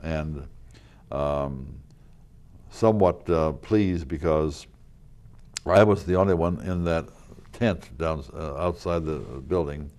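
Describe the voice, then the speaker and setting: An older man, a local historical reenactor, telling rambling stories in a casual interview, with a loud voice and many fillers and restarts. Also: a bass voice, slow, pauses often. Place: community TV studio.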